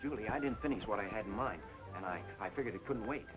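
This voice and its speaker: A man's voice: lowish voice, quick pace, lo-fi sound, over music, close to an everyday voice. An actor playing an assertive male club boss in old radio noir style. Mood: earnest.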